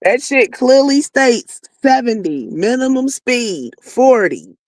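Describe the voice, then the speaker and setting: A woman's voice: a little high, big pitch swings, slow, no echo. Slangy woman co-commentator, dry close sound. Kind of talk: casual reaction chat.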